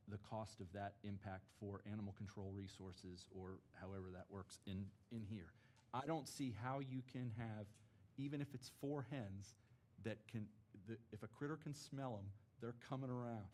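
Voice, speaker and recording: A man, a lifelong local, speaking at a city planning meeting in an earnest, halting way full of restarts. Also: lowish voice, dark tone. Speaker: board member. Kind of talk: public meeting discussion. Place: meeting chamber.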